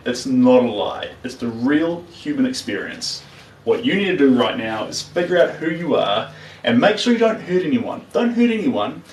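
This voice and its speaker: A man in his thirties talks while he paints on a livestream, handing out plain-spoken, earnest advice. His voice carries a roomy echo and sits a little away from the mic. His pitch is middling for a man. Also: far from the mic. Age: 30s. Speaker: painter streaming live.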